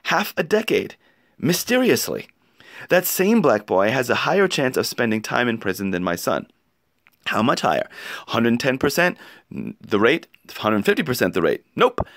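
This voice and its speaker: Male narrator for opinion piece: emphatic, rhetorical, highly varied intonation, dry close sound. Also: big pitch swings, normal speed, fairly bright tone, no echo. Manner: emphatic, rhetorical delivery with pointed questions. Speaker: narrator reading an article aloud. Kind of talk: expressive read-aloud of an opinion essay.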